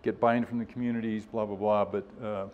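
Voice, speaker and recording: A man's voice: lowish voice, level tone, a little fast, muffled recording. A man presenting at a scientific workshop in an informal, conversational way, explaining things and voicing other people's positions as he goes.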